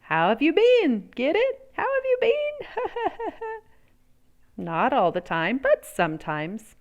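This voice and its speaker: A woman reading a children's picture book aloud in an expressive, theatrical way, drawing out words and pausing for emphasis. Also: high-pitched, muffled recording.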